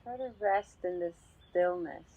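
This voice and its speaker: Very slow-paced woman guiding meditation. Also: fairly low pitch. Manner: calm, unhurried, with many pauses between short phrases. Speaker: meditation teacher. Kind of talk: guided meditation instruction. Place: outdoors in a garden. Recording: slightly dull sound with birdsong. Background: birdsong.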